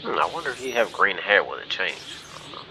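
A man's voice: deep voice, very animated, fast talker, noisy background. A man giving casual gameplay commentary, hesitant and thinking aloud as he goes, often trailing off. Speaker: gaming video host.

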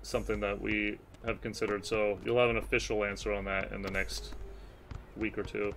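A man casually answering livestream questions as a company representative, in a measured, hesitant, noncommittal way. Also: fairly low pitch, flat delivery, vocal fry, fairly bright tone, a little hiss or hum. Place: indoors at a desk, streaming webcam. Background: music.

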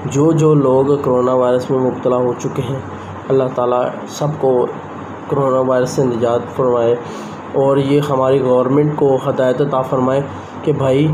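A young man chats loosely to his phone camera. He talks in a mid-pitched voice. The phone recording is noisy, with outdoor sounds in the background. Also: flat delivery, normal speed. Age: young adult. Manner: rambling, conversational, talks straight to the camera. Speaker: vlogger. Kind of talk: casual vlog chat. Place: rooftop outdoors, then a small room indoors. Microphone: handheld phone camera at arm's length.

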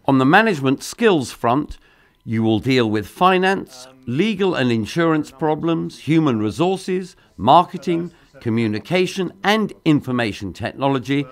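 A man giving scripted promotional narration in a polished, measured voice-over delivery. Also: medium pitch.

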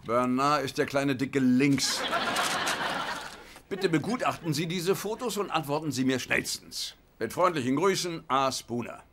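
A man's voice: medium pitch, lively intonation, a little slow, fairly bright tone. An actor playing a fussy, pedantic middle-aged man in a German sitcom dub, reading a complaint letter in a formal, pedantic way, over noisy TV audio. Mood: prim. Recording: noisy dubbed TV audio with laugh track.

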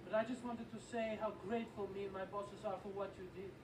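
Polite high-voiced game character. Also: male voice, steady tone, quick pace, reverberant, background noise. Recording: game audio heard from far off.

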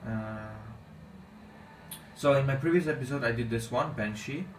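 Armenian male gaming host, mid-pitched, halting speech, faint hiss behind him. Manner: halting, non-native English with many fillers. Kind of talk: casual gameplay commentary. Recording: echoey room, distant mic, faint noise.

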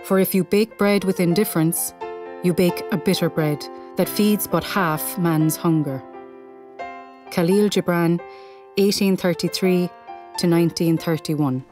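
A woman's voice: fairly low pitch, a little slow. Woman reciting over piano music. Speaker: reader. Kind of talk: poetic recited reading.

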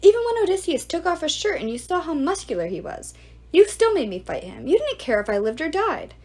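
A woman's voice: on the high side, very animated, unhurried. A woman reader voicing a young male demigod posing as a beggar, speaking in a tense, accusing way.

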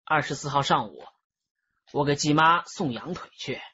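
A man's voice: a little high, moderate pace, sharp tone, lo-fi sound. An actor playing a brash, slippery streetwise teenage boy in a radio drama, cocky and wheedling. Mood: confessing.

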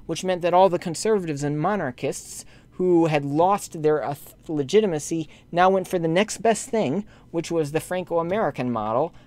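A man delivering a lecture voice-over over slides, scolding church leaders in a rebuking tone that climbs sharply when indignant, and turning measured when explaining history. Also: on the high side, darkish tone. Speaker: lay commentator addressing bishops.

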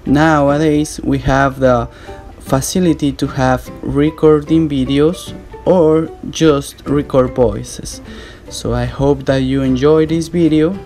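A man reads an earnest scripted voice-over, his phrasing slightly non-native. Soft guitar music plays quietly underneath. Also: medium pitch, steady tone, slow pace. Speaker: narrator and video maker.

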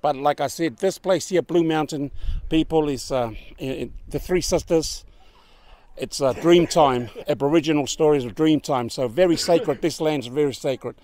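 A man's voice: a little high, moderate pace, a little mellow. A man, chatting upbeat with strangers at an outdoor lookout, filming himself. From New Zealand. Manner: upbeat, chatty, laughs often. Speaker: travel vlogger. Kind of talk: casual chat with passers-by. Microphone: camera at arm's length.

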